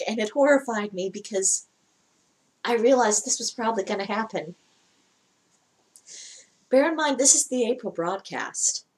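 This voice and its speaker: A woman with a fairly low voice for her sex talks casually into the camera. She is wry and chatty and keeps breaking into laughter mid-sentence. Her pitch sits in the ordinary middle range. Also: poor audio. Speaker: vlogger reacting to a broadcast. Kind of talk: casual spoken commentary.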